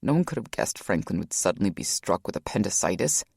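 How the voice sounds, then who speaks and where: A woman putting on a deep, hushed brother, calm and comforting, his voice dropped well below hers and kept quiet. The read is close and dry, with no room around it. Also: fast, creaky, slightly rough, no room sound, full character voice. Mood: soothing. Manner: low, soft and soothing. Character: worried gentle adult brother, a man.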